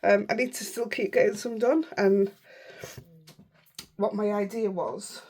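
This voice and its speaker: A middle-aged woman with a Yorkshire accent, hoarse and unwell, chatting through a casual crafting tutorial in a croaky voice and rambling as she goes. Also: medium pitch, fairly even intonation, a little slow, warm tone. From Yorkshire, England. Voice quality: croaky, rough voice. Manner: chatty, rambling, self-interrupting. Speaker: craft channel host.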